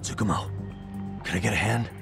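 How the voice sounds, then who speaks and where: Casting: level-headed investigator for a game, deep, serious, all business. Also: male voice, a little fast, gravelly, over music. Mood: focused, calm. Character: determined, level-headed male detective. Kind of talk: dramatic video game voice acting.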